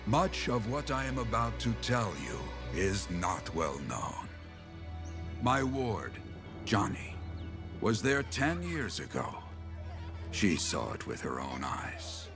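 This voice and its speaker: Grave male game storyteller: measured, lively pitch swings, strong music underneath. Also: full character voice. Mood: grave, solemn. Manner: solemn, measured. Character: grave male storyteller recalling old tragedy. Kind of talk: dramatic game cutscene narration.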